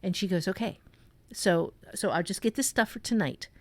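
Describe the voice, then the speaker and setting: A woman improvising a story in a conversational writing lesson, thinking aloud in a halting way with repeated phrases. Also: mid-range voice, lively intonation, darkish tone, no echo. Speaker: author and writing teacher.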